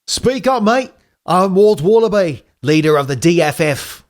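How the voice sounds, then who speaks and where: A man voicing a cheery male wallaby leader, loud and hearty. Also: sits high, lively intonation, measured pace, voice pushed up.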